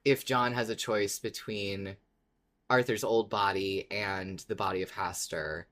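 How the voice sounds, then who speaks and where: A woman co-hosting a podcast, chatting casually about a favorite show in an informal, excitable, exaggerating way. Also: extremely low pitch, a little slow. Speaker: podcast co-host. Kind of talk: casual fan discussion podcast.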